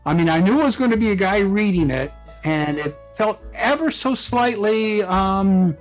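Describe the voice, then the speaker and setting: A man with a notably high voice chats as a guest author. His talk is casual and colloquial, full of little check-ins with the listener, over background music. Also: animated, moderate pace, low-quality recording. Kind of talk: casual conversational chat.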